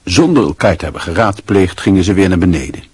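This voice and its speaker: An actor playing a dry, wry literary narrator in a radio play, with a husky voice. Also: male voice, sits low, expressive, fast, dry recording, full character voice. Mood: wry, reflective.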